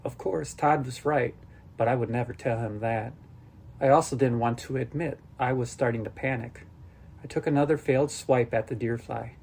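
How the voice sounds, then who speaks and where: A man's voice: medium pitch, steady tone, normal speed, creaky, a little mellow, slightly rough. A man reading a scripted first-person story as an audiobook narrator.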